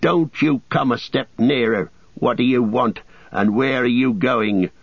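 A man voicing a gruff, kindly hobbit farmer with blunt country talk, on a dull lo-fi audiobook recording. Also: mid-range voice, a little slow, dry recording, pitched above the performer's own voice.

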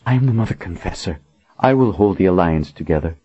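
A man's voice: low voice, expressive, quick pace, warm tone, slightly rough. A man voicing a grieving young queen who speaks in an anguished, pleading way. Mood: defiant. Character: grieving young queen, desperate then resolute. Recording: dull lo-fi audiobook recording.

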